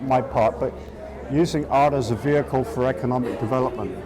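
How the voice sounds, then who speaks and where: A man's voice: medium pitch, brisk, a crowd in the background. A middle-aged man from England with a southeast English accent, an artist speaking casually in an interview, hesitant with many fillers and restarts. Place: outdoors in a town.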